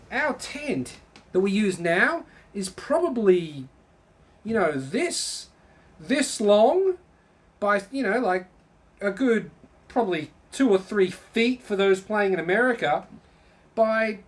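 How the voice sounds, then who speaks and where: A young man casually chatting on a live stream, rambling, reading comments aloud and swearing freely. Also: sits high. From Australia. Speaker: channel host.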